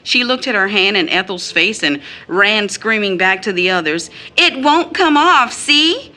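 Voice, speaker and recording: An actress playing a bitter, wounded mother in an audio drama, phrasing deliberately as she recounts her child's mistreatment. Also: mid-range voice, expressive, pushed and bright. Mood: indignant, anguished. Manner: deliberate phrasing, quotes others in mimicked tones.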